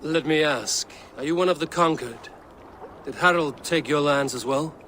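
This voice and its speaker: An actor playing a stoic, loyal Viking warrior, terse, guarded and earnest in a dramatic game cutscene. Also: male voice, fairly high pitch, moderate pace, a bit bright, slight background noise, lightly acted. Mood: probing.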